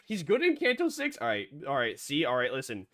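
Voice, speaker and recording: A streamer chatting through a game stream in a joking, rambling way, with a voice they call monotone. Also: mid-range voice, a little fast. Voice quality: self-described monotone voice. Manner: joking, rambling, often restarts. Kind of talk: casual game reaction stream.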